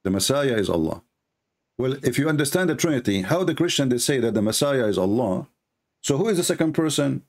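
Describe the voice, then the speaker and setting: Guy running a call-in show, middle pitch, talks in a mocking argumentative way, goes back over his words a lot. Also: sing-song, brisk, a little mellow. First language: Arabic. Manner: mocking, argumentative, repeats himself. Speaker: show host taking calls. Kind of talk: call-in religious debate show.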